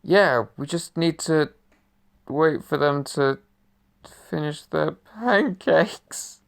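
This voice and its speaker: A man's voice: fairly high pitch, takes it slowly, gravelly. A man playing the pompous ghost of a murdered novelist, dithering and self-important, in an audio drama. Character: pompous dead male novelist, now a ghost.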